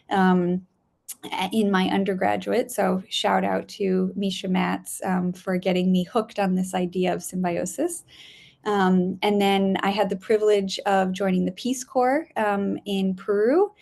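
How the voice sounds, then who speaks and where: A woman from Spain talks as a guest scientist over a video call. Her voice has a gravelly, fry-laden edge, and she chats loosely, full of ums and restarts, laughing often. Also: mid-range voice, level tone, measured pace, darkish tone.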